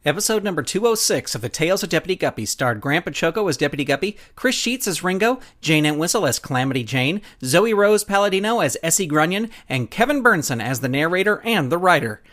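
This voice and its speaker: A man's voice: a little high, sing-song, fast, hardly any pauses, fairly bright tone. A man reading scripted credits and announcements for a children's show in a chirpy, folksy way.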